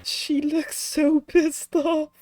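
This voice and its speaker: A woman's voice: sits high, flat delivery, drawn-out words, darkish tone. A woman giving casual gaming commentary in a sarcastic, mock-outraged manner. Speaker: gaming video creator. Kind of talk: casual game reaction commentary. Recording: commentary over game music.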